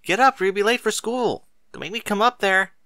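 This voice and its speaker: A man's voice: high-pitched, brisk, bright, forceful tone, no room sound, clean studio sound, voice pushed up. A man voicing a flustered, bossy married mother who exclaims and nags in a storybook reading. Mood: urgent, scolding. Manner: exclaiming, nagging.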